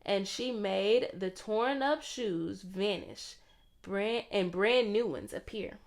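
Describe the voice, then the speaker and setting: A woman reading a children's story aloud in an expressive, theatrical way. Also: mid-range voice, slow pace. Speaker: storybook reader.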